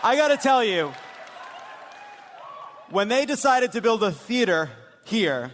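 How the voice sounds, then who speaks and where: A man's voice: high voice, big pitch swings, takes it slowly, fairly bright tone, a faint crowd. A man hosting a live comedy political show on stage, with a wry, emphatic delivery. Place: on stage in a large theater. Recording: big reverberant theater, some noise.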